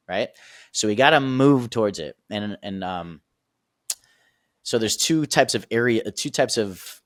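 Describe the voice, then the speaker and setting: Low-voiced man coaching with energy. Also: no room sound, clean studio sound. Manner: energetic, repeats "right?" and "okay?" often. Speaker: sales and performance coach, guest. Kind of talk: casual teaching explanation.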